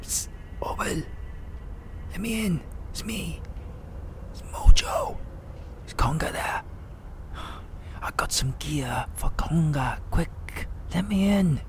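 An actor playing a furtive, urgent male drug dealer in an audio drama, in a hoarse whisper. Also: a little high, expressive, takes it slowly, long pauses.

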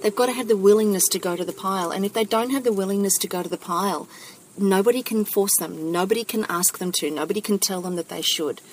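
A woman's voice: mid-range voice, fast, slight background noise, low-quality recording. A woman giving conversational coaching advice, emphatic and repeating her key phrases. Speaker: panel speaker giving advice.

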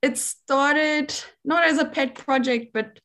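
A woman's voice: high-pitched, slow pace, a bit bright. A young woman from South Africa with a South African accent, chatting as a researcher guest in an interview, laughing often and using many fillers. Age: young adult. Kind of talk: casual interview chat.

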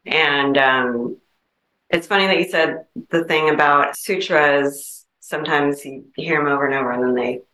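A woman's voice: low-pitched, normal speed, sharp tone. A woman sharing reflections casually in a group discussion, hesitant and searching for her words. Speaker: participant in a Zen group discussion. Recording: roomy, echoing group-room pickup.

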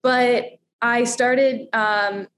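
A woman's voice: on the high side, fairly even intonation, very slow, a bit bright, a little hiss or hum. A young adult woman from Florida, a radio host, chatting bubbly and laughing often in a casual interview. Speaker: radio host and steel drum player.

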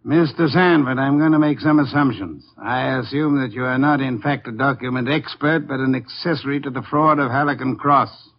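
An actor playing an imperious, cerebral master detective in a 1950s radio drama, speaking formally and precisely. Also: male voice, mid-range voice, fairly even intonation, moderate pace, hardly any pauses, close to an everyday voice. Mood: accusing. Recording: muffled vintage 1950s radio recording.

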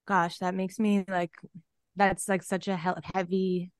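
Casual woman host, smooth voice. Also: fairly low pitch, little pitch movement, a little slow, no echo. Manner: casual, lots of "like" fillers. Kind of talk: casual interview conversation.

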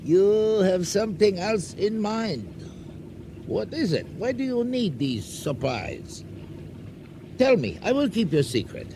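A sly, suspicious island merchant in a radio drama, with a high, swooping voice. He haggles slowly and needles in clipped, formal phrases. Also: big pitch swings, dark tone, a little hiss or hum, heavily acted. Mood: mocking, suspicious.

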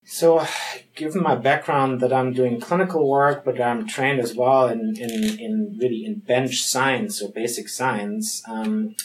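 A man's voice: mid-range voice, steady tone, unhurried, rough recording. A man from Germany with a German accent, a physician-researcher answering interview questions in a thoughtful, hesitant way with frequent ums and restarts.

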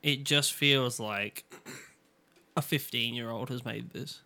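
A man's voice: medium pitch, slow pace, creaky, pushed and bright. A man co-hosting a casual chat, riffing with comic exaggeration. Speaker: podcast co-host.

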